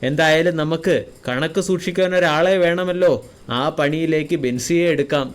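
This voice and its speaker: A man's voice: fairly high pitch, steady tone, normal speed, clear, resonant tone, lightly acted. A man playing a wealthy, authoritative but fair-minded landlord in a cartoon moral story. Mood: regretful.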